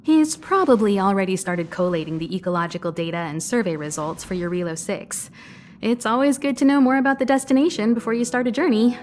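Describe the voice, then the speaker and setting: A woman's voice: mid-range voice, fairly quick, darkish tone, close to an everyday voice. A woman playing a composed, caring crew leader in a video game, speaking articulately and reassuringly. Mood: calm, guiding. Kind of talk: game cutscene exposition.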